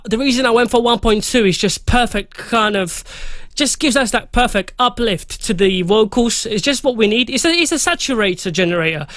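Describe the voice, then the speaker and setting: A man, slightly hoarse, casually talking through a music mixing tutorial and reading out settings with loose fillers. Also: high-pitched, fairly bright tone, no room sound. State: slightly hoarse, losing his voice. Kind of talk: casual screen-recorded software tutorial.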